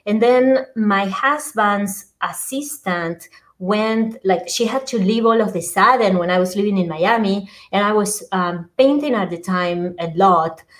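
Middle-aged woman, Argentine accent, hesitant, lots of ums, casual interview guest, mid-pitched voice. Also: measured pace. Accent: Argentine Spanish. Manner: hesitant, many ums and uhs, laughs often. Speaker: guest, app creator.

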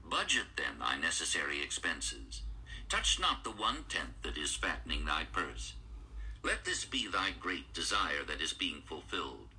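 Deep, bright-edged male audiobook narrator. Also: big pitch swings, unhurried. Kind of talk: formal scripted narration of an archaic-styled book.

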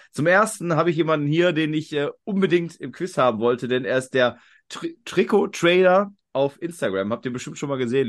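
A man hosting a quiz podcast in a casual, talkative and rambling way. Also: medium pitch. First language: German.

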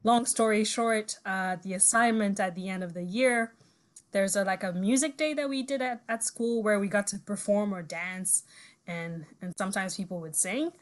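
A woman's voice: mid-range voice, normal speed. Chatty young woman, French her first tongue. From Ivory Coast and Zimbabwe. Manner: chatty, laughs often, trails into fillers. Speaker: guest musician. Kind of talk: casual interview storytelling.

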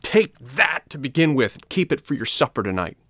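A man's voice: medium pitch, expressive, normal speed, fairly bright tone, dry recording, voice pushed up. A mocking schoolboy bully , acted by a male storyteller, jeers in a raised voice. He is emphatic and bossy in a storybook way. The sound is close and dry. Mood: threatening. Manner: jeering, emphatic, taunting. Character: mocking gang of rascal schoolboys, bullying and taunting.

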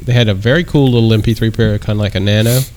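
A man co-hosting a casual podcast, telling stories in a relaxed way with lots of fillers. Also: on the low side, rapid-fire, a little hiss or hum. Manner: relaxed storytelling with many fillers. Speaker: podcast co-host. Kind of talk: casual chat.

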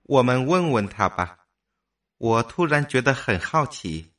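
A man acts an immortal human mind in a sci-fi radio play. The voice is mid-pitched and animated, inquisitive yet matter-of-fact, and sits a bit away from the mic. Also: a little slow, far from the mic. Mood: curious. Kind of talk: audio drama dialogue.

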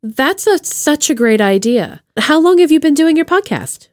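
A woman's voice: sits high, sing-song, normal speed, no room sound, studio quality. A woman hosting a casual podcast interview in an enthusiastic, encouraging manner.

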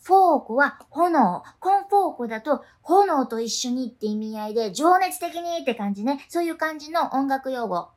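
A woman's voice: high voice, expressive, normal speed, hardly any pauses. A woman playing a quirky, earnest, lovestruck schoolgirl with a dramatic way of talking in a radio drama. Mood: explaining, matter-of-fact. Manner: dramatic, drops musical terms into her talk. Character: quirky, earnest schoolgirl in love with transfer student.

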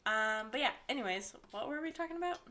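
A woman's voice: a little high, lively intonation, quick pace, pushed and bright, a little hiss or hum. A young woman with a slight lisp co-hosting a podcast in rambling, giggly casual chat. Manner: giggly, rambling, laughs often. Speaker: podcast co-host.

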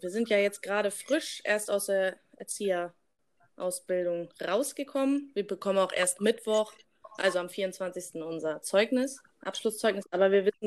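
Female co-host, early twenties. Average pitch, loose unscripted delivery, leads with prompts to her partner. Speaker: podcast co-host. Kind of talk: casual unscripted chat.

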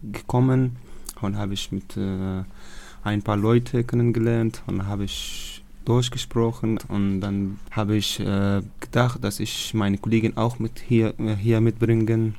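A man from Afghanistan, not a German speaker, answering interview questions in halting learner's German with many pauses and fillers. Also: lowish voice, level tone, vocal fry, dark tone. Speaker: course participant.

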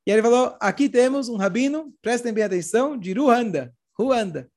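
A Brazilian man, hoarse with a cold, telling religious stories informally and acting out the dialogue as he goes. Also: very high-pitched, lively intonation, moderate pace, a little mellow. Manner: acts out dialogue while retelling. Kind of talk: animated informal religious storytelling talk.

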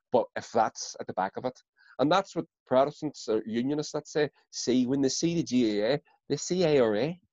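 A man's voice: mid-range voice, darkish tone, no echo. A Northern Irish man talks politics in casual chat, swearing freely and tripping over repeated words when worked up. The sound is close and dry, slightly dull on top. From Northern Ireland.

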